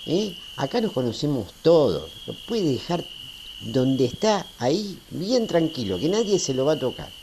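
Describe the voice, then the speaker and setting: A man's voice: fairly high pitch, very animated, darkish tone, a little hiss or hum. An actor playing an easygoing, teasing small-town railway man in a radio drama, folksy. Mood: reassuring. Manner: folksy, unhurried, conversational.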